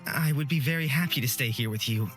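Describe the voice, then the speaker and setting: Woman putting on a low, reserved young man. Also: fast talker. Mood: earnest, reassuring. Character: low-voiced, reserved, reassuring young male hero. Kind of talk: visual novel character reading. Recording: background game music.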